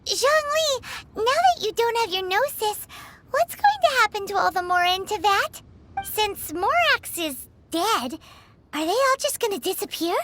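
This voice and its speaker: A woman voicing a tiny, childlike floating fairy companion, chirpy, curious and blunt, with a squeaky voice in a game cutscene. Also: very animated, unhurried, noisy background, exaggerated voice. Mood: inquisitive. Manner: refers to self in third person, exclaiming. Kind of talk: scripted game cutscene dialogue. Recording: loud background music under dialogue.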